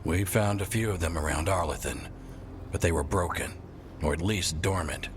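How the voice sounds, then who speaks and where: Actor as a very deep, grim elven ranger. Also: male voice, normal speed, natural voice. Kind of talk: fantasy game voice acting. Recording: clear background ambience noise.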